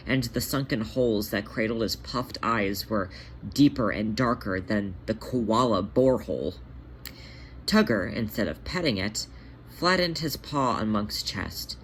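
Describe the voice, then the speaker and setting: Casting: male narrator with a medium-pitched voice, reading fan fiction aloud as host. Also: lively intonation, measured pace, a little hiss or hum. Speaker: fan fiction author and podfic reader, host. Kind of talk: scripted narration of a story read aloud.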